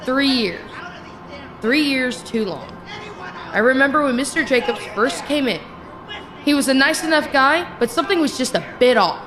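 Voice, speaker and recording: An actress voices a jaded female psychiatric nurse in a horror radio play. Her voice sits fairly high and is emphatic, that of someone worn down by the ward, with music underneath. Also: animated, normal speed. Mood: weary, bitter. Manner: exasperated, emphatic. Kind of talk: horror audio drama acting.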